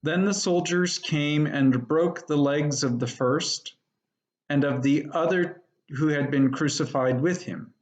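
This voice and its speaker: Measured man reading scripture slowly. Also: on the high side. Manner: measured, deliberate. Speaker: lay scripture reader.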